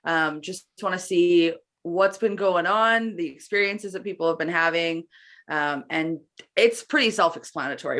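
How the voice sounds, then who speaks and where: Female podcast co-host from Canada, slightly low voice, informal and conversational. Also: a bit bright. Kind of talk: casual podcast chat.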